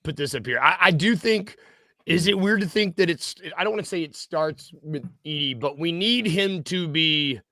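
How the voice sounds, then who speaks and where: Slightly high, bright man, dry sound. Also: moderate pace, no room sound. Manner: stammering restarts, enthusiastic agreement. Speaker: podcast co-host. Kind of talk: casual sports talk.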